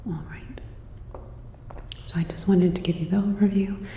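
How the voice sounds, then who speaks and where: A woman whispering a scripted sci-fi ASMR roleplay as a calm, clinical robot repair technician, soft, breathy and gently instructive. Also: on the low side, moderate pace, roomy sound, long reverb tail, highs cut off, slight background noise.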